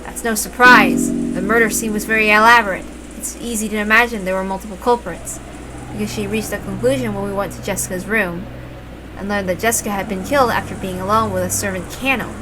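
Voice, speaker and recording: A woman narrating a visual novel aloud in an expressive storytelling style. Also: mid-range voice, brisk, over music, poor audio. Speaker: reader, narrator.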